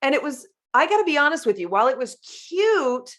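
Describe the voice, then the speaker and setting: A woman's voice: sits high, very animated, a little fast, fairly bright tone. A woman hosting a podcast interview, asking long, effusive questions and telling family stories. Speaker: podcast host. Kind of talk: interview conversation.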